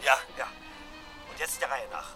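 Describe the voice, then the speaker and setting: An actor playing a tense, impatient husband giving urgent, clipped instructions in a German radio crime play. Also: male voice, mid-range voice, big pitch swings, moderate pace, over music, close to an everyday voice.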